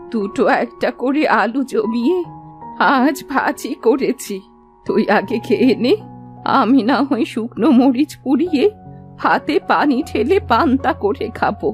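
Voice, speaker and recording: A woman voicing a doting old village grandmother in a cartoon, fussing and scolding affectionately in homely speech. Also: on the high side. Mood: caring. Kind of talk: cartoon voice acting. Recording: music under the voice.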